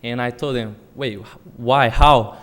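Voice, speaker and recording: A young man in his twenties speaks with a Brazilian accent in a smooth, resonant voice. He tells his story informally, with plenty of "uh"s. The sound carries the long reverb of a meeting hall. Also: medium pitch, steady tone, measured pace. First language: Portuguese. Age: 20s. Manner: informal, many "uh" fillers, storytelling. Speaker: alumnus speaking at public comment.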